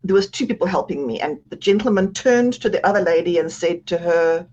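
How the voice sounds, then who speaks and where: A woman's voice: lowish voice, fairly quick, darkish tone, muffled recording. A woman with a South African accent, speaking as an author guest on a radio interview, chatty and self-deprecating, laughing often.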